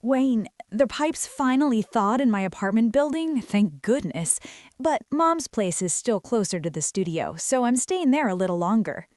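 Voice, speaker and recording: Woman as chatty, higher-voiced young radio co-host. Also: lively intonation, moderate pace, a little mellow, no echo, clean studio sound, lightly acted. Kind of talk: light on-air banter.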